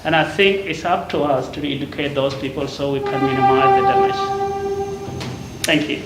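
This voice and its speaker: A man from South Sudan, a community worker, giving panel remarks in a measured way with pauses. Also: medium pitch. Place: community forum venue. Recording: echoey hall, light noise.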